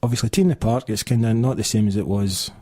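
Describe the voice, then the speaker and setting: Scottish male radio host, rapid chatty delivery, low warm voice. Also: dry recording. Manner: fast, chatty, lots of fillers. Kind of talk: casual radio chat. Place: radio studio.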